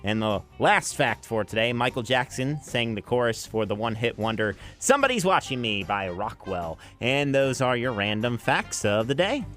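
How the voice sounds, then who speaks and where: A man's voice: medium pitch, sing-song, normal speed, hardly any pauses, a bit bright. An American man presenting an afternoon music radio show as its DJ, linking songs and reading sponsor messages. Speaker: radio DJ, afternoon drive host. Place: radio studio. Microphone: close broadcast microphone.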